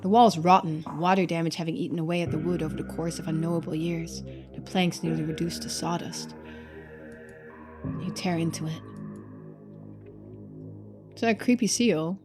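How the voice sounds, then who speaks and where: A woman streamer giving chatty game commentary and expressive narration. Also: lowish voice, moderate pace, pauses often. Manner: casual, chatty. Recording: music behind the voice. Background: music.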